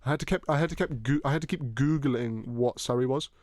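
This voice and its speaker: A man's voice: mid-range voice, a little mellow, no echo. Rambling young British-accented man, dry sound. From Hong Kong. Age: young adult. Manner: rambling, swearing, quick laughs. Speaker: podcast host. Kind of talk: casual chat.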